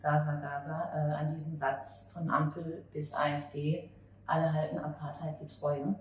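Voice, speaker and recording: A woman with a lowish voice conducts a reflective interview. She feels her way haltingly through long thoughts. The recording is very echoey and distant, as at a table in a small venue. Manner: halting, searching for words. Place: small cafe or bar table at night. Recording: very echoey, distant, noisy room ambience.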